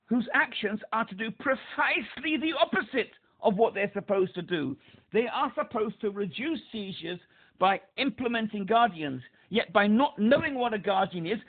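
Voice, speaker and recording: A man with a speech impediment, calling a helpline and pressing his point emphatically, repeating himself. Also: high-pitched. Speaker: caller to a helpline. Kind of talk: phone call. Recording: tinny phone line.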